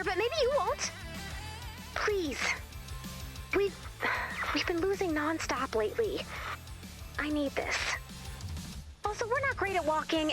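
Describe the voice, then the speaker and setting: An actress voicing a flustered, lovesick young woman in a video game, rambling awkwardly and interrupting herself as she goes. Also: extremely high pitch. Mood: anxious pleading. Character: flustered, chatty, lovesick young woman improvising a camp scary story.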